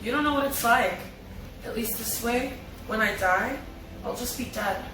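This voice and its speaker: A woman's voice: a little high, normal speed, background noise. A woman reading character lines from a drama script in an amateur table read. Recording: echoey room, distant mic, music behind.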